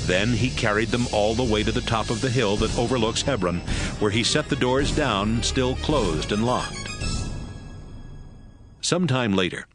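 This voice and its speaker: A man narrating scripture in a steady, even storytelling manner. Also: fairly low pitch.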